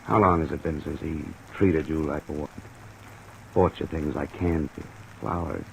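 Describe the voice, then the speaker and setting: A very low male voice plays a hard-boiled insurance investigator in a vintage radio drama. He is blunt, cynical and keeps pressing. A faint hiss sits under the old recording. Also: fairly even intonation, normal speed, gravelly, poor audio. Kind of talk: old-time radio detective drama acting.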